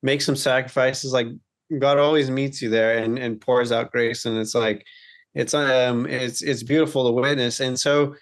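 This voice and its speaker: Enthusiastic male host, mid-pitched. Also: fairly even intonation, moderate pace. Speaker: podcast host. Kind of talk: casual interview conversation.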